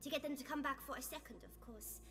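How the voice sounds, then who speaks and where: A woman's voice: high-pitched, quick pace, gravelly. A woman playing a sly, mercenary street dealer in a fantasy video game, with a condescending, sugary manner. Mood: sly haggling. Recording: music behind the voice.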